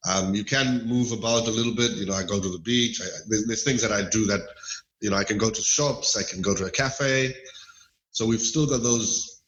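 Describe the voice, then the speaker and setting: A middle-aged man from Malawi, a podcast guest talking in a thoughtful, measured way with hedging asides. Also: lowish voice, a bit bright. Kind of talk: casual interview chat. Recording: roomy echo.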